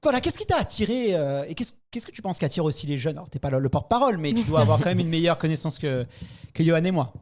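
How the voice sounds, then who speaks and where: Fast-talking man hosting, slightly hoarse. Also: on the high side, big pitch swings. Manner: chatty, informal, prompts guests with questions. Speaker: podcast host and interviewer. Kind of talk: casual live podcast interview.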